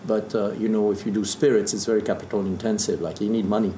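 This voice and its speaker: A man's voice: on the low side, level tone, fast talker, dark tone. A man in his fifties from France, speaking English with a French accent in casual interview storytelling. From southern Burgundy, France. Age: 50s. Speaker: interview guest, distiller. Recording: echoey room with background noise.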